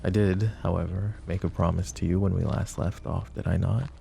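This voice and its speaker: A man's voice: deep voice, fairly even intonation, brisk, dark tone, slight background noise. A man voicing a suave, possessive mafia boss who flirts with the listener in a polished, courteous way that carries an undertone of menace. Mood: silky flirtatious. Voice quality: smooth, courteous, teasing menace. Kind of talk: roleplay audio drama, addressing the listener.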